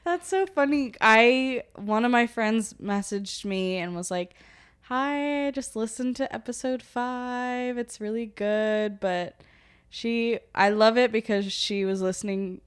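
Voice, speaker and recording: A woman's voice: on the high side, slow, clean tone. A young woman co-hosting a podcast in casual chat, chatty and self-mocking. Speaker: podcast co-host.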